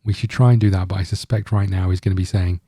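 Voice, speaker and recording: Mellow, low-voiced man doing game commentary. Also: steady tone, quick pace, dry recording, studio quality. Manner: rambling, thinking aloud. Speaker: gaming video host. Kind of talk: casual let's-play commentary.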